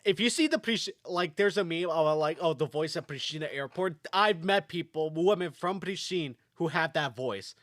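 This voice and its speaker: A man's voice: fairly high pitch, moderate pace, hardly any pauses, fairly bright tone, dry recording, clean studio sound. A young man from Kosovo chatting casually on a podcast, rambling with lots of fillers and restarts. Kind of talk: casual chat.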